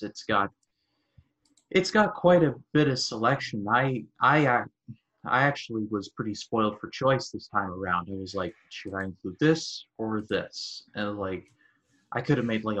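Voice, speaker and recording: A man's voice: lowish voice, fairly bright tone. A man in his twenties co-hosting a podcast, chatting casually with rambling asides and corny jokes. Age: 20s. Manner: rambling, jokey, self-deprecating puns. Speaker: podcast co-host. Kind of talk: casual chat.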